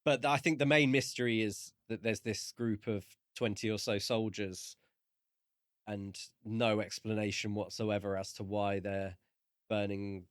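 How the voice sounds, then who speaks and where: A man with a fairly low voice, recorded very dry, co-hosts a book podcast. He talks casually, feeling his way through each point in halting, considered phrases. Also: steady tone, smooth, no echo. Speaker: podcast co-host.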